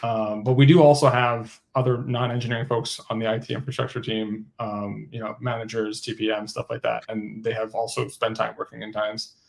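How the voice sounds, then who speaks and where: A man's voice: fairly low pitch, level tone, brisk, vocal fry, hardly any pauses. A man speaking as an engineer and guest in a webinar, answering in an informal, explanatory way as if thinking aloud. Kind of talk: webinar interview answers, conversational tech talk.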